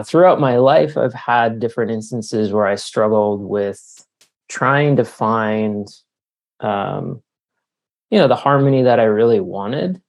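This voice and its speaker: Middle aged guy with a low creaky voice, answering interview questions in a thoughtful halting way. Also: darkish tone. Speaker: interview guest, author and leadership coach.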